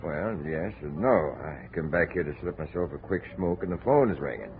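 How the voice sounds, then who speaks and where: A streetwise American bartender in a 1950s radio detective drama, cagey and slangy. The low voice slides widely up and down, and it comes through a muffled, narrow old radio recording. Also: male voice, animated, normal speed, soft background music. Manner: slangy, sly, evasive. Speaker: supporting radio actor.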